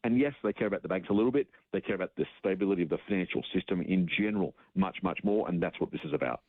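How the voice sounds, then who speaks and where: A man's voice: low-pitched, racing, no room sound. A man with an Australian accent giving informal, explanatory finance commentary as a radio guest, using examples and asides. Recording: narrow tinny phone-like line.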